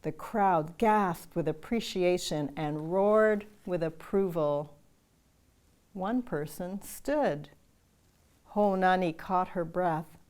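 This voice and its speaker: An older woman reading a children's picture book aloud, slow and measured, chanting the story's calls and repeated lines. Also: on the low side, darkish tone. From Canada. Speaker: storytime reader.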